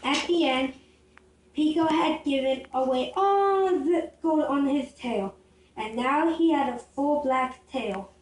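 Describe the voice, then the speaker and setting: A female storyteller with a very high, childlike-pitched voice narrates a fable in a halting read-aloud way. The recording is roomy and distant, with faint noise behind the voice. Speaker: storyteller in a puppet show.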